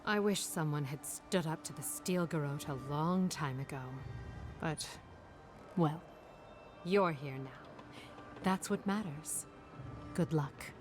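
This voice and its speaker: A woman's voice: low-pitched, slow, long pauses. An actress playing a guarded, world-weary woman offering help in a fantasy game cutscene. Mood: regretful, resolute. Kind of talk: dramatic video game cutscene acting. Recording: music clearly behind the voice.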